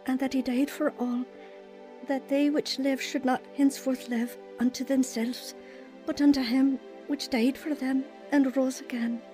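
A woman's voice: high-pitched, little pitch movement, a little slow, mellow, faint music behind. An actress playing a troubled, heartfelt young wife who tells her life story in a scripted radio drama, with a sincere manner. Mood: moved, eager. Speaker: actor narrating a radio drama. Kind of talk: scripted first-person dramatized testimony.

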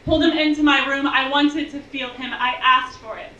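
Bright, high young woman reciting poetry. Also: fairly even intonation, slight background noise. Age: young adult. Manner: measured, intense, rhythmic delivery. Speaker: slam poet. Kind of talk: spoken-word poetry performance. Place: bar stage venue, indoors. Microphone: stage mic on stand, filmed from afar. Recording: distant, dull, echoey room recording.

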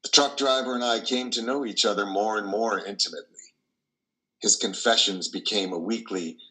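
A man performs an elderly priest in a stage drama, troubled and philosophical. His voice is low but very bright and forceful, with deliberate, theatrical, musing phrasing. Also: full character voice, rough recording. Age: 60s or older. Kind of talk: dramatic monologue in play reading. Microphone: video call, close to camera.

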